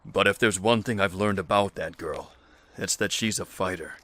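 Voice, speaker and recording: A man plays a male leader in a survival audio drama. His low voice has a bright, clear edge, and he speaks with measured calm and dry wit. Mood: earnest, measured. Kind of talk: dramatic audio acting, naturalistic dialogue.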